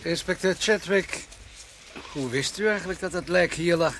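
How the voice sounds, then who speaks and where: A Dutch man playing a measured, matter-of-fact police doctor in a radio drama. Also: on the high side, noisy background, natural voice. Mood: measured, probing.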